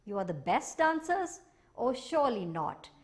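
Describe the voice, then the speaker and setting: A woman's voice: fairly high pitch, very animated, measured pace. A woman voicing a sly, cunning farmer in a folk tale, teasing and mock-doubtful.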